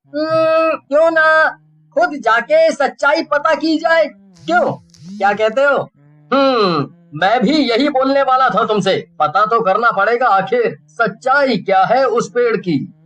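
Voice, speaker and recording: A man's voice: very high voice, expressive, slow pace, a bit bright, heavily acted. A man voicing a curious, anxious young village man in a horror story, earnest and urgent. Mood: skeptical, curious.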